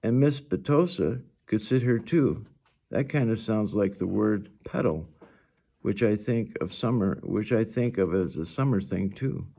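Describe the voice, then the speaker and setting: A man's voice: on the low side, lively intonation, measured pace, vocal fry, dark tone, exaggerated voice. A man voicing a friendly, chatty young schoolgirl in a storybook, earnest and emphatic.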